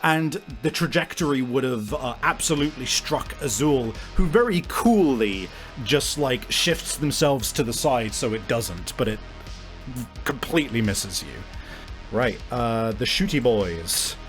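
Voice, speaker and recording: A man's voice: mid-range voice, animated, a little slow, fairly bright tone. A man improvising narration as a game master, descriptive and often searching for his words. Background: faint music.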